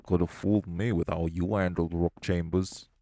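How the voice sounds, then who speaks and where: A man's voice: low-pitched, little pitch movement, moderate pace, mellow, slight background noise. An actor playing a crude, hot-tempered male gangster boss who runs clubs, blunt and vulgar. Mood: taunting. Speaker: club-owning gangster. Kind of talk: audio roleplay acting.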